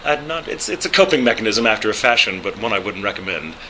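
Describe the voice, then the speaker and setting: A man's voice: fairly low pitch, lively intonation, noisy background. A man from Texas with a neutral American accent tinged with a proper British lilt, speaking articulately and thoughtfully in an interview, with frequent fillers. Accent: neutral American with a slight proper British lilt. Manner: articulate, measured, with frequent uh fillers and small restarts. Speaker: voice actor and ADR director. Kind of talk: reflective interview storytelling.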